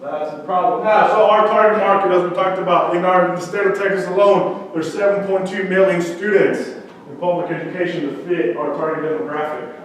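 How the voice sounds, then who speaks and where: A man from central Mexico whose first language is Spanish, giving a lively startup pitch and quizzing the audience. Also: brisk, far from the mic, a little hiss or hum. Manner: conversational, quizzes the audience with questions. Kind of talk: energetic startup pitch talk. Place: event room with audience. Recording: echoey room, speaker away from mic.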